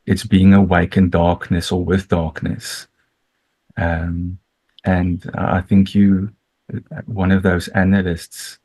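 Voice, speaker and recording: Deep husky Afrikaans-speaking man. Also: darkish tone. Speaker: audience member, clinician. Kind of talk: thanking the speaker in a discussion. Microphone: video call.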